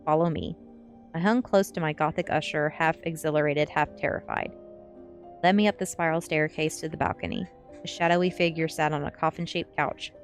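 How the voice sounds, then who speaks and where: A woman reading a first-person story aloud as ASMR narration. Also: deep voice. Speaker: streamer reading a novel aloud.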